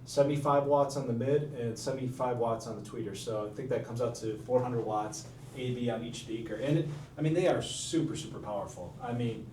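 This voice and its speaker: A man's voice: mid-range voice, brisk, dark tone, recorded from a distance. A man giving casual technical product details as an audio dealer. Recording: roomy, off-mic, faint background noise.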